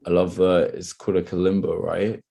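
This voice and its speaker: Hoarse, gravelly low-voiced man. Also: flat delivery, a little fast, warm tone. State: slightly hoarse, dry throat. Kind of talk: casual, grateful devotional chat.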